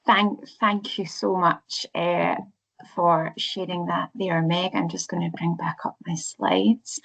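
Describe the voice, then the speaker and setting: A woman's voice: on the low side, flat delivery, slow, gravelly, a bit bright, poor audio. A woman with a Scottish accent presenting a webinar, speaking hesitantly with many fillers and restarts. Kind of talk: live online presentation.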